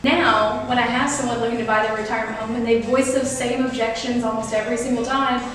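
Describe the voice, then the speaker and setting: A woman's voice: a little high, steady tone, fast, far from the mic, crowd noise. A young woman from Texas, a real estate agent and guest, talking in an upbeat, chatty way in a casual interview. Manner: upbeat, talkative, laughs often. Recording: echoey room, voice off-mic.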